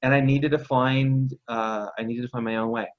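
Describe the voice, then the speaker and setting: Male guest from Texas, mid pitch, thoughtful and halting, muffled lo-fi audio. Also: fairly even intonation. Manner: reflective, many restarts and self-corrections. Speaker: guest entrepreneur and coach. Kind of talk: casual interview storytelling. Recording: slightly dull lo-fi sound.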